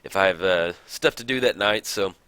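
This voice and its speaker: Deep-voiced man mulling over card plays. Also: moderate pace, clear, resonant tone, no echo. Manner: thinks aloud with many fillers, counts mana out loud. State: a bit raspy from recording in the morning. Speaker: card game video host. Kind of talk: casual gameplay commentary.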